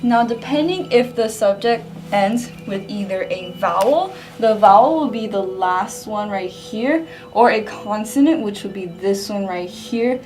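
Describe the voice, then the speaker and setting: A woman's voice: mid-range voice, expressive, measured pace, hardly any pauses, a little mellow, reverberant, soft background music. A young woman teaching a language lesson, patiently explaining examples one by one at a whiteboard. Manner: clear, patient explaining, often pointing at a whiteboard. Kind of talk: scripted lesson narration.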